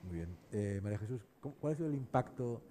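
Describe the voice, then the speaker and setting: A man moderating a panel, putting questions in hesitant, measured phrases. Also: lowish voice, lively intonation, warm tone, a little hiss or hum. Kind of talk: interview questions at a panel discussion.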